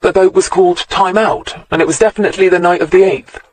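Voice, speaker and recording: A man's voice: high-pitched, fairly even intonation, fast talker, a little mellow, pitched above the performer's own voice. A man voicing a laid-back hairy hippie artist with a sing-song Welsh accent, as a reader of a storybook. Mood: easygoing.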